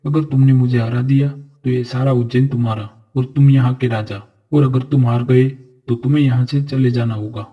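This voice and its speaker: A man acting a noble, earnest figure of a fairy-tale royal court, speaking formally and solemnly in an animated story. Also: mid-range voice, moderate pace, husky, muffled recording, lightly acted. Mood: firm, defiant.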